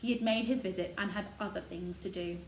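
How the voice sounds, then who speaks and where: A woman's voice: mid-range voice, steady tone, fairly quick. A woman reading scripted narration from a children's novel. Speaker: storybook reader. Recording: roomy echo, noticeable background noise.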